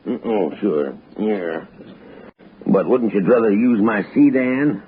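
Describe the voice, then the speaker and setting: An actor playing a genial, folksy small-town man with a halting delivery in a 1960s radio drama. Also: on the low side, lively intonation, slight background noise. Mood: genial, evasive. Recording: narrow vintage radio recording, music behind.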